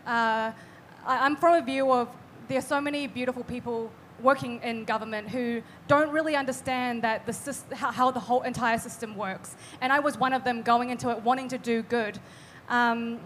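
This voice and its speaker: A woman's voice: a little high, a little hiss or hum. A young woman from New Zealand, a guest artist, talking earnestly on a live event panel with many fillers and restarts.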